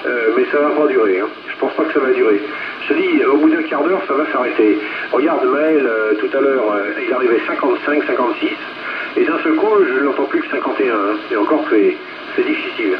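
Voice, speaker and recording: A man's voice: mid-range voice, a little fast. A man chatting casually as a radio operator over a two-way radio transmission. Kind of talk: casual radio contact chat. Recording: muffled, very noisy radio transmission.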